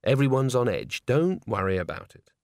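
Friendly young male tinkerer as a man plays him, casual and animated, closely recorded for an audiobook. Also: medium pitch, normal speed, no echo, clean studio sound. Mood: reassuring. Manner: casual, explanatory.

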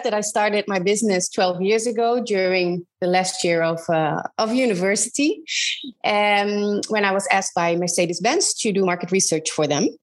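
A woman in her thirties with a Dutch accent, talking as a guest in an interview. Her voice sits at a middle pitch, and she pauses often and restarts her sentences as she searches for words. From Netherlands. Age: 30s. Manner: hesitant, many ums and restarts. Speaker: guest, business advisor. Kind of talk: casual interview conversation.

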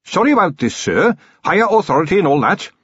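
A man's voice: high-pitched, very animated, fast, slightly rough, no room sound, highs cut off, voice pushed up, exaggerated voice. A man voicing an earnest, courteous male police captain, respectful and upbeat, in an audiobook. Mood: apologetic.

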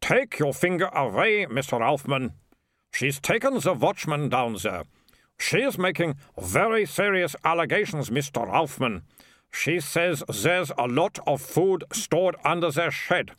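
A man's voice: fairly high pitch, big pitch swings, unhurried, fairly bright tone, no echo, studio quality, pitched above the performer's own voice. A man doing a stern small-town mayor. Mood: firm. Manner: flustered, then firm and cutting. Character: bewildered middle-aged male town mayor.

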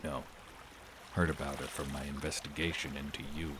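Brooding 1930s private sleuth, extremely low voice, hard-boiled and terse, slight background noise. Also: male voice, flat delivery, a little fast, a bit bright. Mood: guarded, serious. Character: gruff, protective amateur detective, brooding 1930s gumshoe. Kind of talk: 1930s noir audio drama acting.

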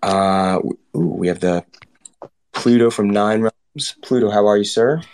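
Male host, lowish voice, friendly joking banter, filler-heavy. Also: measured pace, darkish tone, slight background noise. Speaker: livestream host. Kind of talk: casual show opening.

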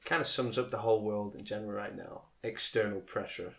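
A man co-hosting a casual podcast chat, joking around with sudden jumps in pitch and trailing off into quiet asides. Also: fairly even intonation, fairly quick. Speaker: podcast co-host.

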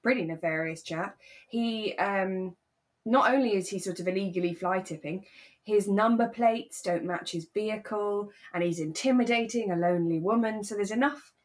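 A woman's voice: mid-range voice, normal speed. A British woman co-hosting a podcast, chatting casually and informally as she recaps a radio drama. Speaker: podcast co-host.

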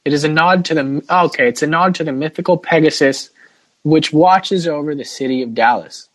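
A man's voice: fairly high pitch, normal speed, low-quality recording. Man co-hosting a casual sports podcast. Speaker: podcast host.

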